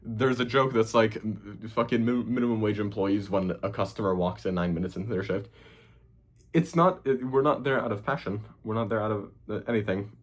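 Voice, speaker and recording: Husky young Hungarian man ranting. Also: low voice. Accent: Hungarian, partly Americanized. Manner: profane, rambling, with frequent fillers. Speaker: cooking channel host. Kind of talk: casual rant to camera.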